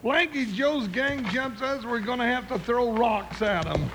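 An actor playing a big, jittery, comic cowboy sidekick with folksy, grumbling American talk in a 1950s radio Western. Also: male voice, very high voice, measured pace. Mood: nervous. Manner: folksy, whiny frontier talk. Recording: very noisy old-time radio recording.

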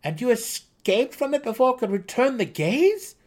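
Excitable, high-pitched young gnome. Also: male voice, moderate pace. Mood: eager questioning. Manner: eager, argumentative, exclaiming. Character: excitable young male deep gnome, curious and boastful. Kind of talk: dramatic fantasy audiobook character voice.

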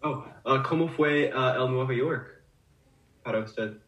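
A man co-hosting a podcast interview, asking his questions slowly and carefully with hesitant fillers. He sounds set back from the mic in an echoey room. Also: medium pitch, fairly bright tone, far from the mic, muffled recording. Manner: hesitant, learner-like Spanish with fillers. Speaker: podcast co-host.

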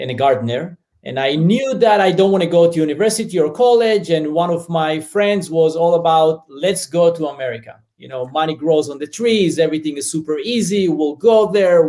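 Israeli-accented man guest, slightly higher voice, easygoing. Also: a little mellow. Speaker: business founder and guest. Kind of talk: casual interview storytelling.

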